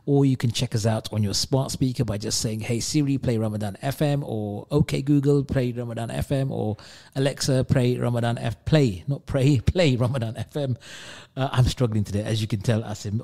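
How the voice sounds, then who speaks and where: A man's voice: medium pitch, steady tone, brisk, gravelly, warm tone. A man hosting a live radio show in a studio, chatty and self-deprecating, with frequent restarts. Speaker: radio host.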